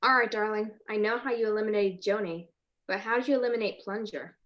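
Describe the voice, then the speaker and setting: A woman playing a sharp-witted, affectionate detective's wife in a 1940s-style radio mystery. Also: medium pitch, fairly even intonation, fast, close to an everyday voice. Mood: curious, affectionate. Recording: dull lo-fi video-call audio.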